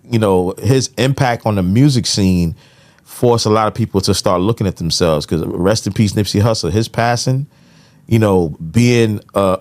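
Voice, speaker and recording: An American man in casual podcast conversation, giving his opinions with frequent conversational tag phrases. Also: on the low side. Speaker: podcast co-host.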